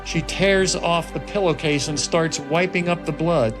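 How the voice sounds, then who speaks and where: Higher-pitched man narrating a film script. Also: measured pace. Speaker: narrator reading stage directions. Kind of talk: dramatic scripted narration at a table read. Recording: music laid clearly behind the voice. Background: music and sound effects.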